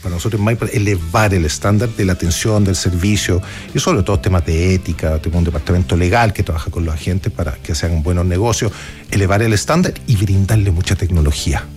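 A man born in Chile and raised in Argentina, a guest on a radio interview, talking quickly and often repeating words as he searches for what to say. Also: low voice, darkish tone, soft background music. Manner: fast, repeats words while searching for phrasing. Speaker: real estate executive guest.

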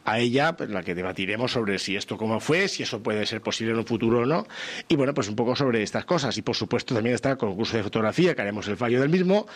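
A man's voice: medium pitch, animated, fast talker. A man organizing a local event, explaining its program on a casual radio show with small restarts.

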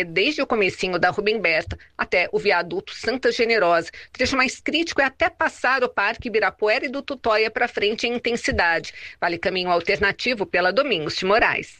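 A woman's voice: mid-range voice, fairly quick, few pauses, a bit bright, dry recording. A woman with a Brazilian accent reading a scripted radio traffic bulletin.

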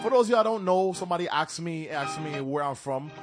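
A man's voice: on the high side, brisk. Hyped radio DJ man over music. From Barbados and Panama. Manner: energetic, slangy, shouting over music. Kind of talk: hyped radio show hosting.

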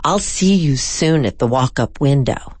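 Lady hosting a food radio show, really expressive and gushy, takes her time. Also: a bass voice, a little slow, breathy edge, dry recording. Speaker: radio host and interviewer. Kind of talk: food interview and scripted radio narration.

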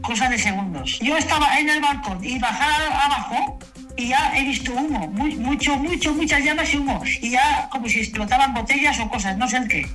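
Shaken high-voiced woman eyewitness. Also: fairly bright tone, faint music behind. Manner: shaken, halting, repeats herself. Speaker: interviewed local resident. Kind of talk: eyewitness account.

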